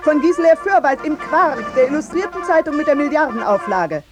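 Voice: high-pitched, sing-song, a little fast. An actor playing a breathless, sensational tabloid headline crier in a satirical 1950s radio play, urgent and declamatory. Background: music.

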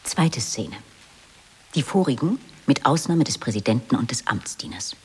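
A woman's voice: rumbling low, expressive, normal speed, slight background noise. A woman reading stage directions as the narrator of a radio play in a dramatic scripted style.

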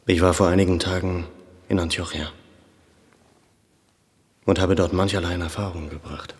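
A man performs a worried, educated Armenian gentleman in a radio play. His low voice carries a long reverb. He speaks with formal, earnest urgency, questioning and pressing. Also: level tone, moderate pace, slight background noise, lightly acted. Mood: polite, guarded. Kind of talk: German radio drama acting.

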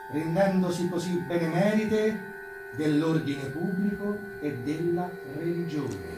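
A man delivering dramatic theatrical narration of history, declamatory and emphatic. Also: on the high side. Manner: declamatory, emphatic, measured pauses. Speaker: stage actor, narrator. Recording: roomy, distant stage sound with music.

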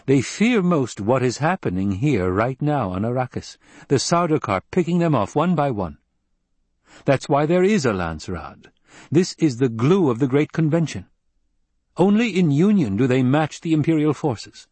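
A man's voice: mid-range voice, sing-song, moderate pace, dry recording, voice pushed up. A male narrator voicing a proud young nobleman who speaks with commanding force. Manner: imperious, forceful delivery.

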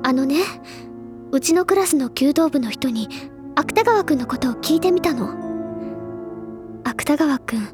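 A woman's voice: sits high, lively intonation, measured pace, pauses often, over music. A woman playing an earnest, bookish teenage schoolgirl in a Japanese audio drama, speaking in refined, effusive feminine phrases. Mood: concerned, gentle. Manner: effusive, refined feminine speech, gently persuasive. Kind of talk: Japanese audio drama, anime-style acting.

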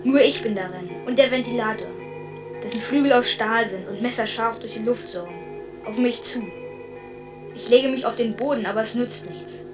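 A woman's voice: on the high side, normal speed, distant mic, over music, natural voice, poor audio. An actress playing an anxious, dreamlike woman recounting obsessive visions in short plain phrases in a radio drama. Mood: entranced, dread.

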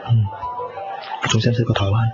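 A man's voice: on the low side, level tone, quick pace, lightly acted. A man acting an earnest, lovestruck young man in a Cantonese radio drama, with a Hong Kong accent and a sincere, intense delivery. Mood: pointed. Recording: dull lo-fi 1990s radio, loud guitar music.

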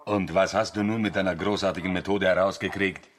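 A man's voice: low voice, steady tone, fairly quick, natural voice. A man playing a sober, disapproving crew member in a radio drama, with a dry, objecting manner. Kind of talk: German radio play acting.

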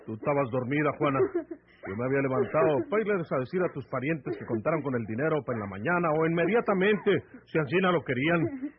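An actor playing a ruthless outlaw bandit with a northern Mexican accent in an old radio serial, speaking in a rustic, commanding way. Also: male voice, a little high, lively intonation, fast talker, a crowd in the background, lightly acted. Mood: cold, defiant. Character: ruthless male outlaw bandit, cold-blooded and threatening. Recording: tinny, band-limited old AM radio recording.

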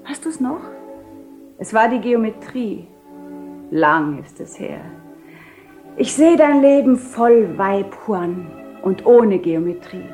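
A woman playing a self-possessed widowed duchess with measured, solemn, theatrical delivery in a radio play. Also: medium pitch, lively intonation, mellow, soft background music. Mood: composed, reproachful. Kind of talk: 1970 German radio play acting.

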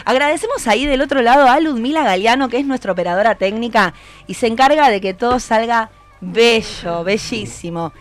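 Radio host: Argentine woman with a fairly high voice, chatty live interviewing style, encouraging, repeats what guests say. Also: brisk, soft background music. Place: radio studio with a group. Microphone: studio microphone.

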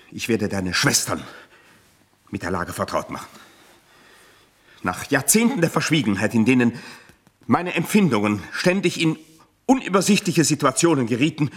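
An actor playing an aggrieved ageing manservant in a radio drama, husky and theatrical, with stilted formal phrasing and a self-pitying temper. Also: male voice, medium pitch, fairly bright tone. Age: older.

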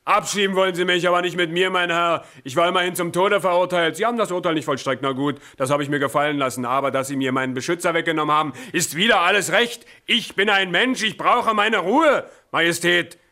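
A man's voice: high voice, fast, few pauses, sharp tone. An actor playing a defiant, querulous male prisoner, complaining with insolent exasperation in a satirical radio drama. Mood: mocking, defiant.

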